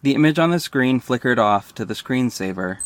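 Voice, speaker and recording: A man's voice: medium pitch, animated, normal speed, natural voice. A man playing a wry, self-aware superhero who narrates his own story in a scripted audio drama. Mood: serious, pondering.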